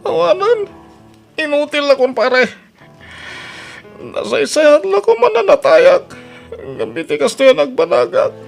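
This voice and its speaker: A man voicing an excitable male drinking buddy, a taxi driver, emphatic and emotional, in an Ilocano audio drama. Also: a little slow, vocal fry, long pauses, faint music behind, full character voice. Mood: anguished, despairing. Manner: high-pitched, emphatic.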